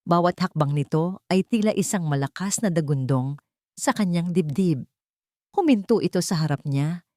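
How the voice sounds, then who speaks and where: A woman's voice: lowish voice, lively intonation, normal speed, warm tone, no echo, studio quality. A woman with a Filipino accent narrating a dramatic scripted love story.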